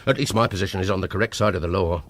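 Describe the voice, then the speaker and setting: An actor playing a bossy, self-important private art investigator in a radio drama, blustering and dismissive. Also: male voice, sits low, rapid-fire, fairly bright tone.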